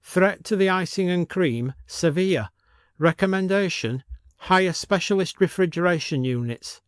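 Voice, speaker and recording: A man acts out an earnest village secretary who talks like a computer. She is high-pitched, clipped and technical, a strange matter-of-fact woman in a dry audio story recording. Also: lively intonation, unhurried, clean tone, no echo. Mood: clinical. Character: oddly robotic, earnest village woman taking committee minutes.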